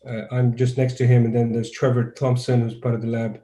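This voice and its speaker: A man from Croatia talks through a video call in a level, mellow voice that hardly rises or falls. He is a guest researcher who answers thoughtfully and often restarts his phrases. The sound carries a noticeable room echo. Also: mid-range voice, little pitch movement, a little fast. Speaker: guest neuroscientist. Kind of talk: conversational interview answers.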